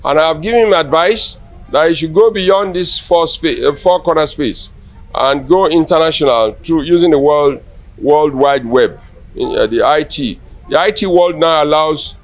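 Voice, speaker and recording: A man's voice: fairly high pitch, moderate pace, soft background music. A man with a Nigerian accent giving a formal opinion in an interview. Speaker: art patron being interviewed.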